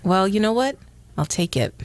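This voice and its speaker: A woman's voice: medium pitch, moderate pace, dry recording. A woman radio host from Jamaica, raised in the Bronx, talks in a chatty, affirming way. She has a mid-range voice, and it is recorded dry and close in a studio. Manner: warm, affirming, lively filler-rich talk. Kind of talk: casual radio interview.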